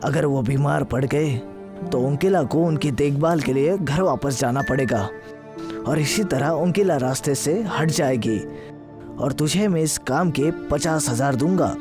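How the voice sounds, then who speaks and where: A man's voice: fairly high pitch, unhurried, music in the background, natural voice. A man voicing an arrogant, scheming boss, the menacing and commanding villain of a cartoon moral story.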